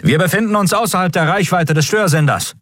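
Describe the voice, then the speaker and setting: A man voicing brisk, alert military bridge officers who report urgently and formally in a science fantasy audiobook. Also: high-pitched, fairly bright tone, dry recording, studio quality, voice pushed up. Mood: announcing.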